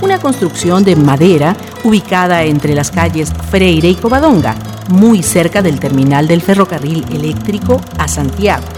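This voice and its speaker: Low, expressive woman narrator. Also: normal speed. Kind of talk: scripted storytelling narration for radio drama. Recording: music behind the voice.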